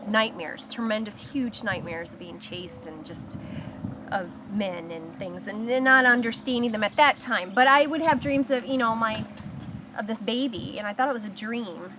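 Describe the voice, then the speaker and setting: A woman sharing her own story of surviving abuse in a support circle, talking in a halting, reflective way with pauses and restarts, and slowing and softening on painful memories. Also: on the high side, noisy background. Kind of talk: personal testimony in a support circle.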